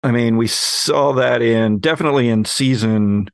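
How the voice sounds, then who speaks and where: A man talking reflectively as a podcast co-host, in a measured and wordy way. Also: mid-range voice, creaky, dry recording. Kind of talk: reflective panel chat.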